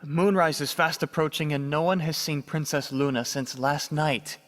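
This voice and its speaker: A man's voice: a little high, steady tone, moderate pace, fairly bright tone, dry recording, lightly acted. A man playing a dutiful, anxious pony guard, formal and deferential, in a fantasy radio drama. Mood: urgent. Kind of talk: fan-made fantasy radio drama acting.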